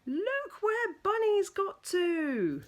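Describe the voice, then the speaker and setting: A woman's voice: extremely high pitch, huge pitch swings, very slow, voice pushed up, heavily acted. A woman voicing fussy, exasperated parents in a children's storybook, exclaiming with exaggerated emphasis. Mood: disgusted, exasperated. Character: exasperated, fussy married couple disgusted by a toy.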